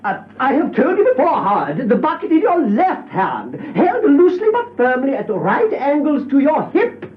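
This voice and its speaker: Fussy, swooping window-cleaning boss. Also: male voice, very high voice, huge pitch swings, normal speed, hardly any pauses, full character voice. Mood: exasperated. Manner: fussy, theatrical, hesitant interjections, coaching. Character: fussy, flamboyant male window-cleaning boss, effusive and exacting. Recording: dull lo-fi vintage radio recording.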